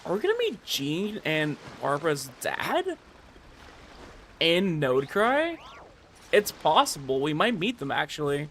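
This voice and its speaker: A man streaming a game and chatting casually, rambling and excitable, repeating himself for emphasis. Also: fairly high pitch, a little slow, slight background noise. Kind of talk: casual livestream chat about a game. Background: faint music.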